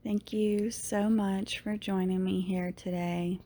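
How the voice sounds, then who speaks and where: A woman leading a guided meditation in a soothing, unhurried way, with long pauses and phrases that tend to trail off softly. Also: medium pitch, flat delivery. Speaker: yoga teacher, meditation guide.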